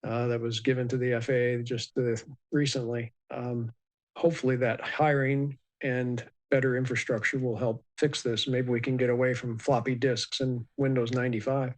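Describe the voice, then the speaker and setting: A man giving a measured, explanatory expert commentary in a live news interview, with many ums and uhs. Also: mid-range voice, flat delivery. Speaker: aviation expert guest.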